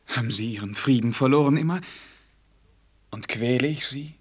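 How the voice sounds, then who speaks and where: An actor in a German radio play voicing an earnest, lovesick young prince, pleading in a formal, courtly manner. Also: male voice, medium pitch, normal speed, slightly rough, close to an everyday voice.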